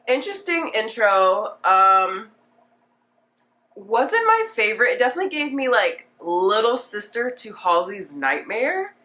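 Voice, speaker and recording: A woman's voice: mid-range voice, bright, forceful tone. A woman with a slightly congested, nasal voice from a cold, chatting casually and rambling through an unscripted music reaction, peppering it with "like". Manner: chatty, rambling, filler-heavy with frequent "like". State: getting over a cold.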